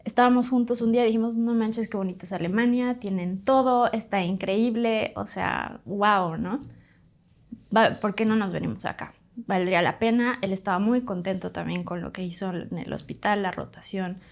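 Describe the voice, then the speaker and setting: A woman in her thirties, originally from Bolivia, speaks as a doctor and podcast guest. Her delivery is chatty and animated, full of quick asides and fillers. Her voice sits at an ordinary middle pitch. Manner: chatty, animated, fond of "pues" and "literal". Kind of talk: casual interview storytelling.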